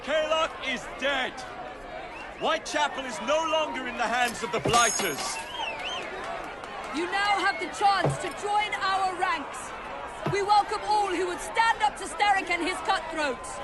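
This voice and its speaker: A man playing a rousing gang leader in a video game, loudly declaiming victory to followers. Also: very high-pitched, measured pace, over a noisy crowd, heavy background noise. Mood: triumphant. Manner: loud, declamatory. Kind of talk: video game cutscene acting.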